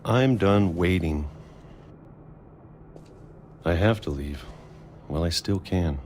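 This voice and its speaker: An actor playing a weary, middle-aged recluse in a video game, narrating reflectively in a slow, measured, resigned manner. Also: male voice, sits low, a little mellow, slight background noise. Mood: weary, resolved. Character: weary reclusive man, decades hidden in a bunker.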